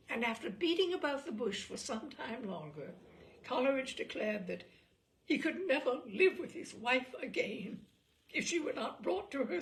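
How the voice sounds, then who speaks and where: A woman reads amusing anecdotes aloud in a fairly high voice edged with creak. Her delivery is theatrical and deliberate, swinging widely in pitch to land the key words. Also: far from the mic, a little hiss or hum, poor audio. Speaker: storyteller reading aloud. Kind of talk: animated dramatic reading of humorous anecdotes.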